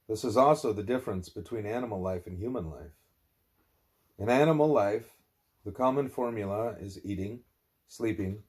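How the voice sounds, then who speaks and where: A man narrating a spiritual text aloud in deliberate, emphatic phrases. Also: fairly low pitch, a little mellow. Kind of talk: scripted narration, broken by asides to a small child.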